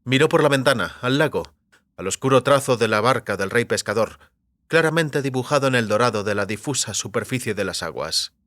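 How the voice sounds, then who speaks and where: Man, audiobook narrator, medium-pitched, dry studio sound, literary storytelling. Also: lively intonation, brisk, a bit bright, dry recording.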